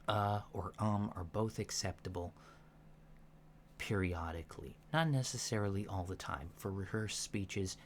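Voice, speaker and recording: A soft-spoken man in an ASMR roleplay, playing a calm alien instructor with a halting, deliberate, matter-of-fact delivery, in a lo-fi recording. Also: sits low. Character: calm alien instructor briefing disguised recruits on humans. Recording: lo-fi recording with faint background noise.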